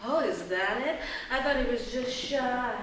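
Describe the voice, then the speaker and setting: Casting: defiant female werewolf actress, theatrical, dry wit, high voice, live radio comedy in an echoey hall. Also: unhurried, background noise, close to an everyday voice. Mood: wry. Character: defiant, sardonic female werewolf of old pedigree. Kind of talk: live comic radio play. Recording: echoey theatre, far from mic.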